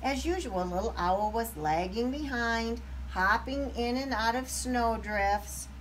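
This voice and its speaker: A woman reading a picture book aloud to young children, expressive, with explanatory asides. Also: fairly high pitch, takes it slowly, a little hiss or hum, low-quality recording.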